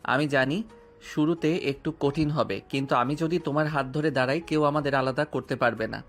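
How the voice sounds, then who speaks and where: A man's voice: on the high side, normal speed, a bit bright. A man playing a teasing young romantic suitor, over music. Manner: teasing, affectionate. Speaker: voice actor. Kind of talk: Bengali audio drama acting. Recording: music underneath.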